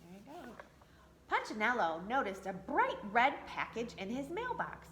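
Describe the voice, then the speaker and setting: A woman's voice: on the high side, wildly swooping pitch, moderate pace, fairly bright tone, slight background noise. A woman reading a picture book aloud to children for a church, with chatty asides to her young listeners. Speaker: church storyteller. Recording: echoey room.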